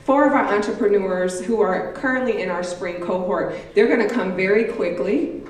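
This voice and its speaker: A woman's voice: medium pitch, moderate pace, a little hiss or hum. A woman who organizes a program hosts a live event and speaks to the crowd directly in an upbeat way. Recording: echoey hall, distant mic.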